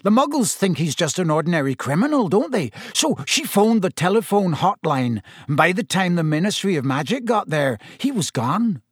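A man voicing an excited schoolboy passing on news eagerly in a raised voice. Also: sits high, moderate pace, hardly any pauses, breathy edge, no room sound, clean studio sound, pitched above the performer's own voice, exaggerated voice.

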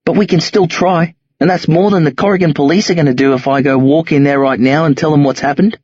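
A man voicing a tough, streetwise teenage boy who talks low and rough in slang on a dull, lo-fi recording. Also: steady tone, quick pace, darkish tone, no room sound. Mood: urgent. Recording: dull lo-fi audio.

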